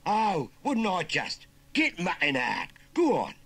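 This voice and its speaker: Shrill, cocky Cockney scarecrow lad. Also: male voice, huge pitch swings, a little fast, husky, no echo, heavily acted. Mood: menacing. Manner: sneering, bossy, jeering. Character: cocky bullying young Cockney scarecrow boy, menacing nephew.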